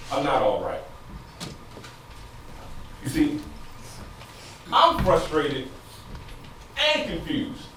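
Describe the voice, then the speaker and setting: An actor playing an anguished, frustrated husband in an emotional theatrical stage monologue that moves between quiet confiding and loud outbursts. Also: male voice, mid-range voice. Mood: frustrated, confused. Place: stage in a large indoor room. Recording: echoey stage, distant mic, noisy.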